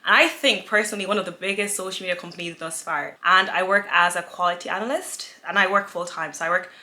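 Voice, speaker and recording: A woman's voice: on the low side, steady tone, fast talker, sharp tone. A woman in her twenties from Nigeria, chatting casually and giggling as she answers viewer questions in a sit-down vlog. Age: 20s. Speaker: influencer, vlogger.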